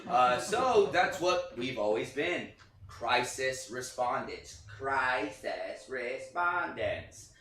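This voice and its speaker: A man playing an earnest, flustered children's TV puppeteer in a staged play reading, speaking haltingly. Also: mid-range voice, sing-song, a bit bright. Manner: halting, earnest, nervous chuckles. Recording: echoey, distant, faintly noisy reading audio.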